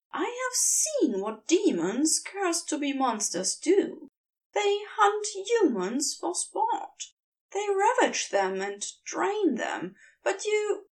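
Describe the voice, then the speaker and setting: A woman voicing a fussy, earnest male angel who speaks softly and hesitantly, prim and a little haughty. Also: extremely high pitch, voice pushed up, heavily acted. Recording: distant mic.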